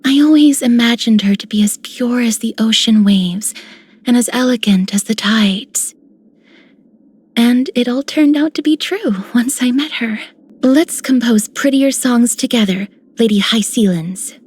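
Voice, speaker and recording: Breathy, poetic young maiden. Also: female voice, on the high side, a little slow, darkish tone. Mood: dreamy, admiring. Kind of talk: scripted game character lines.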